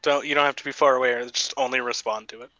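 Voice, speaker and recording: A man's voice: mid-range voice, fairly even intonation, very fast, pushed and bright, no room sound, dull audio. A man chatting bluntly and offhand with friends during an online game. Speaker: gaming friend. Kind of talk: casual gaming chat.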